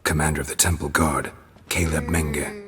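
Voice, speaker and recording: Very deep, gruff monster hunter. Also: male voice, moderate pace, long reverb tail, a crowd in the background. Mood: blunt. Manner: terse, clipped, deadpan. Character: gruff, stoic, blunt male monster hunter. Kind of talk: video game voice acting.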